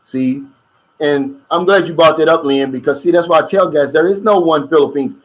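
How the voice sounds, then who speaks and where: Middle-aged male channel host, loose chatty livestream delivery, pitch a touch high. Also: normal speed. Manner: loose, chatty, drawling with fillers and asides. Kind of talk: casual livestream chat reading viewer comments. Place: lives in the Philippines.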